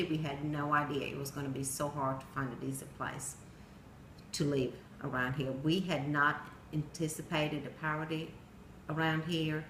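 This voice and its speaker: A middle-aged woman from Sweden with a Swedish accent, telling a personal story in plain storytelling with pauses and some non-native grammar. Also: sits low, a bit bright. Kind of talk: personal narrative speech. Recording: echoey room with faint noise.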